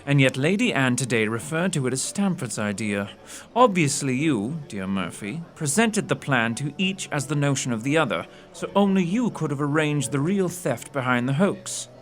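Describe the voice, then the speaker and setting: An actor in an audio drama playing a shrewd, courteous gentleman detective with a precise, theatrical, condescending manner. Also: medium pitch, lively intonation, moderate pace, faint music behind, close to an everyday voice. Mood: explaining, triumphant.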